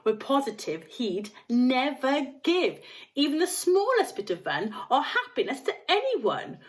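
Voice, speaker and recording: Woman as singsong, moralising tiny storybook chanters. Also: on the high side. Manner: emphatic, drawn-out, singsong delivery. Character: Oompa Loompas, tiny gleeful chanting moralising little folk. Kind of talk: theatrical rhyming verse chant from a storybook.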